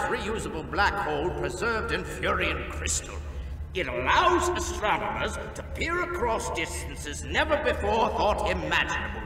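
A man's voice: high-pitched, full character voice. A man playing a genial, fussy alien island official in a video game, speaking in a theatrical, courtly way. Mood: jovial. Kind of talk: video game character acting. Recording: echoey hall, distant, under loud music.

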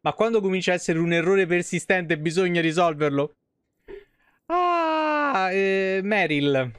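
A man in his thirties with a smooth, clear voice that sits a little high. He streams games live in a casual, informal way, swearing freely as he talks to chat. The sound is close and dry. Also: animated, a little slow, fairly bright tone, dry recording.

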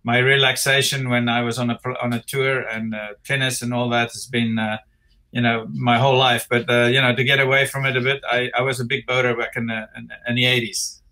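A man in his sixties with a South African accent, chatting as an interview guest over a video call from his home office, rambling and relaxed with many fillers and restarts. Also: medium pitch, fairly quick. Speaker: interview guest, retired tennis player. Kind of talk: casual interview chat. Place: home office with framed pictures.

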